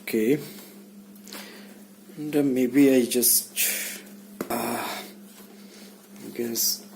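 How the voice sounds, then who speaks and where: A man giving a casual hands-on tutorial, speaking haltingly and muttering to himself as he works indoors. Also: mid-range voice, steady tone, vocal fry. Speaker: DIY vlogger. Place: small indoor room. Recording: background noise, room ambience.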